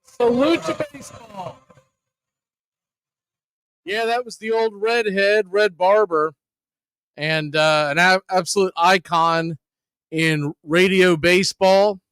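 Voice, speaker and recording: Dry close mic, man, high-pitched voice, emphatic sports radio host, deliberate phrasing. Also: a bit bright, clear, resonant tone, dry recording. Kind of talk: radio promo and commentary.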